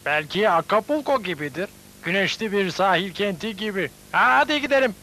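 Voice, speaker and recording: An actor playing a sociable, exclamatory cartoon drifter in a Turkish dub. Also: male voice, high voice, animated, normal speed, sharp tone, full character voice. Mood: eager. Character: homeless, sociable male cartoon newcomer dreaming of Acapulco. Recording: noisy, hissy old VCD dub.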